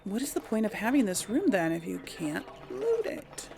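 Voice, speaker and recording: Chatty woman gamer with light creak. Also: mid-range voice, big pitch swings, normal speed, darkish tone, a little hiss or hum. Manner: informal, chatty, thinking aloud. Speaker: host of a game playthrough series. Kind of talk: casual chatty let's play commentary.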